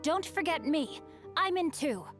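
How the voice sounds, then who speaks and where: Young game swordsman: proud, scholarly, articulate, polite, highish voice over music. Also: animated, unhurried. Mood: bright. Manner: formal, articulate, courteous. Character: earnest, bookish young male swordsman with chivalrous pride. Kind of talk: video game voice acting. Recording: soft game music behind.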